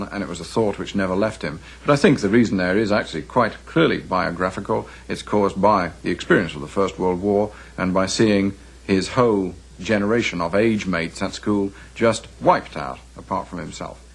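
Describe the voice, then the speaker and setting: Man, English accent, lowish husky voice, deliberate halting delivery, scholarly interview commentary. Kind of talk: unscripted documentary interview commentary.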